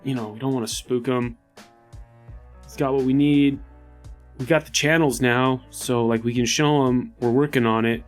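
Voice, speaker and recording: A man's voice: mid-range voice, steady tone, normal speed. Hesitant, rambling man over music. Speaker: player in a tabletop RPG podcast. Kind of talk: casual in-character improvised role-play.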